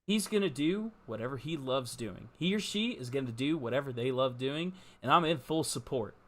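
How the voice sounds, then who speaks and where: A man's voice: medium pitch, lively intonation, normal speed, a bit bright. A man co-hosting a casual live football talk show, speaking in an earnest, emphatic and informal way. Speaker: podcast co-host.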